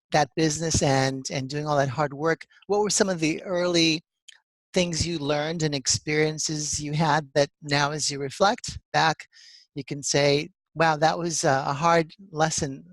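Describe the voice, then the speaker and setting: A man's voice: on the high side, no echo, poor audio. Enthusiastic man hosting, dry sound. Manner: enthusiastic and encouraging. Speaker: podcast host. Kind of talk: interview hosting.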